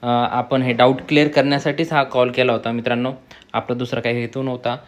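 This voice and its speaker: A young man gives an informal explainer in a quick stream, his pitch staying quite flat. He circles back over points and speaks to his viewers as friends. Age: young adult. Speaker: student making an exam and job information video.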